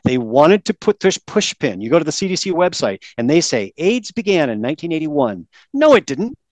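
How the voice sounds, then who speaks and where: A man's voice: a little high, big pitch swings, fairly quick, no room sound. A man who is a guest on a podcast, explaining his research in an emphatic, lecturing way. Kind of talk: interview, explaining a presentation.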